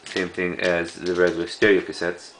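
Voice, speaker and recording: A man giving a casual, unscripted show-and-tell of a collection in a halting, rambling way. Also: low voice, flat delivery, fairly bright tone, slight background noise. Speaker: cassette collector presenting a collection.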